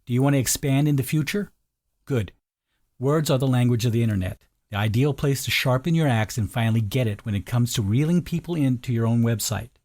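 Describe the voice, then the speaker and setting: A man's voice: medium pitch, brisk, darkish tone. A man narrating a scripted sales video in a conversational, persuasive manner. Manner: conversational, persuasive, rhetorical questions.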